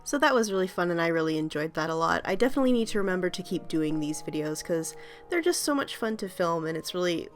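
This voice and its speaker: A woman's voice: lowish voice, animated, quick pace, faint music behind. A woman hosting her art video, talking in a casual, rambling voice-over with many ums and small laughs, her voice still slightly scratchy from a cold.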